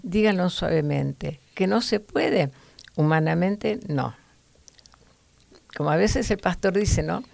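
A woman's voice: on the low side, lively intonation, slow, gravelly, long pauses, a little mellow, no echo. A woman teaching from the Bible to other women in an earnest, preachy way, with fillers and restarts.

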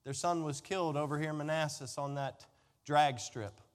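A man's voice: a little high, fairly even intonation, normal speed. A middle-aged American man preaching a sermon in a conversational, emphatic way, with storytelling, repetition and rhetorical questions. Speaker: pastor preaching.

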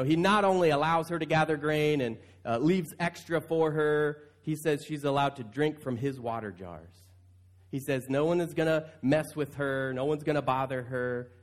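A male pastor preaching in a conversational style, stretching key phrases for emphasis. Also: mid-range voice. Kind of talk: conversational sermon.

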